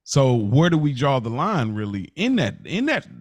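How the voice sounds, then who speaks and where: An American man hosting a casual podcast discussion, speaking deliberately as he lays out points and poses questions. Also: medium pitch, big pitch swings, no echo. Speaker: podcast host.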